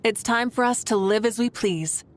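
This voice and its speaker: A woman's voice: on the high side, normal speed, a little hiss or hum, natural voice. A woman playing a confident, playful warrior leader in a video game, with an assured, teasing manner.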